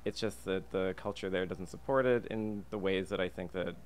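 Low-voiced Canadian man, noisy background. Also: steady tone, normal speed. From Alberta, Canada. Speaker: podcast guest, freelance illustrator and designer. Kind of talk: casual interview chat. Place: podcast booth inside a diner. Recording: clear background ambient noise.

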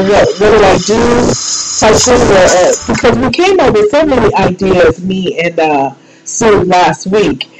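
A woman's voice: a little high, low-quality recording. A woman hosting a solo show, chatting in a casual, rambling, folksy and informal way. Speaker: radio show host. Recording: loud music behind the voice. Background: loud background music.

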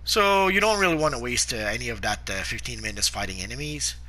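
Casting: man, sharp bright tone, sniffly sore throat, halting game-guide chatter with lots of "uh". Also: medium pitch, animated, low-quality recording. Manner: halting, frequent "uh" fillers and restarts. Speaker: gameplay guide narrator. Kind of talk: casual game commentary.

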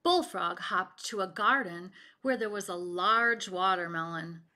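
A woman in her forties reading a children's picture book aloud and explaining it, expressively and with deliberate pauses. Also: mid-range voice, animated, sharp tone. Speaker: read-aloud storyteller. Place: home kitchen, indoors.

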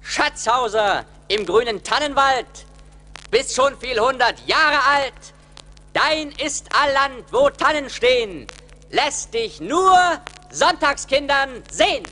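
An actor playing a poor, respectful young charcoal burner in a radio play, speaking earnestly and plaintively. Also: male voice, very high voice, expressive, takes it slowly, bright, forceful tone, full character voice. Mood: reciting. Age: young adult. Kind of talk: 1980s German radio play acting.

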